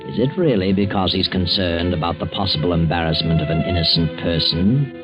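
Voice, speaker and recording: A man's voice: sits low, lively intonation, over music. A man playing a sinister, sardonic, all-knowing narrator of a 1940s radio suspense show, on a dull old recording. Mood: sardonic, ominous. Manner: deliberate, ominous, sardonic. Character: sinister, mocking male mystery storyteller, all-knowing.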